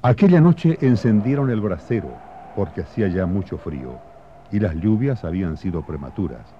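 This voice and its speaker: A man playing a solemn storyteller, narrating patriotic history and memories in a measured, literary radio drama style. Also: low-pitched, expressive, slight background noise. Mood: solemn, reflective. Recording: muffled radio broadcast, faint music behind.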